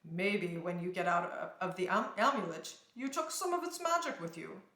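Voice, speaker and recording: A woman's voice: lowish voice, lively intonation, moderate pace, a bit bright. A woman voicing a casual, teasing male spirit fox who gives offhand advice in a storybook. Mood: explaining. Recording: roomy indoor echo.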